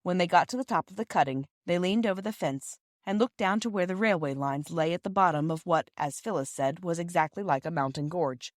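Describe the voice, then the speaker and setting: A woman narrates a classic children's storybook in a fairly low voice. The recording is dry and close, with very little room sound. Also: brisk, hardly any pauses, no echo. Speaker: audiobook reader and podcast host.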